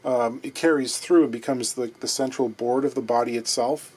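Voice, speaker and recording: A man's voice: mid-range voice, steady tone, moderate pace, a little mellow, husky. A man hosting his guitar repair vlog from his workshop, talking in a wry, chatty, digressive way. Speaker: guitar repair luthier and channel host.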